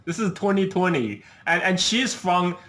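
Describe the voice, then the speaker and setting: A man's voice: high voice, lively intonation, normal speed, fairly bright tone. A man who was born in China and raised in the United States, hosting a podcast and talking casually and earnestly, often repeating words as he starts a sentence. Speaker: podcast host. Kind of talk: casual conversational commentary.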